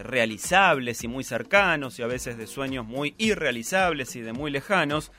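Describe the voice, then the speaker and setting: A man's voice: on the high side, fairly bright tone. A man with a Rioplatense Spanish accent hosting a radio show, playful and teasing as he reads listener messages. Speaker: radio host. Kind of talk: casual radio chat.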